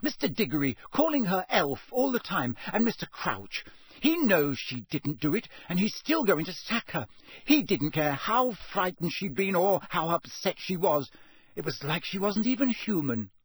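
A passionate, indignant teenage girl, as a male reader puts her on, heated and raised, with a lively voice. The sound is a little husky and dry. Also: on the high side, unhurried, no room sound, pitched above the performer's own voice, exaggerated voice. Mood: furious. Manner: heated, outraged.

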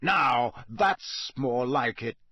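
A man voicing a gruff, commanding old wizard teacher in an audiobook, growling and barking out forceful orders. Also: a little high, lively intonation, takes it slowly, no room sound, clean studio sound, voice pushed up, full character voice. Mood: fierce approval.

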